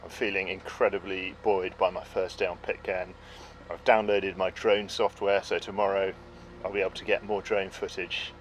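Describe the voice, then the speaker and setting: A man's voice: low-pitched, flat delivery, moderate pace, slight background noise, low-quality recording. A man talking casually about his days at sea while sailing a small boat solo, chatty and upbeat with some hesitations. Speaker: solo sailor vlogger. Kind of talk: casual vlog narration. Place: aboard a small sailboat.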